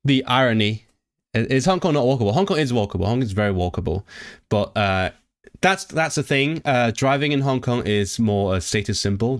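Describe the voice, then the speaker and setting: A man with a fairly low voice, talking casually to his livestream chat. He reads questions aloud and translates them as he goes. He reacts with lively, animated asides, often stumbling and restarting.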